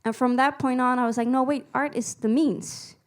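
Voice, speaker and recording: A woman's voice: high-pitched, steady tone, moderate pace. Woman musician-activist speaking on a panel. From Netherlands. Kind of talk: panel talk, personal account. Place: small screening room with seated panel, indoors. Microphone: handheld microphone held close.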